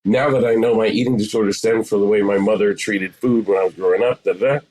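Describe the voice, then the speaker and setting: A man's voice: on the low side, steady tone, fast, darkish tone, poor audio. A man in his forties explaining things conversationally as an interview guest, emphatic and repeating phrases for stress. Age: 40s. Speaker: psychotherapist, podcast guest.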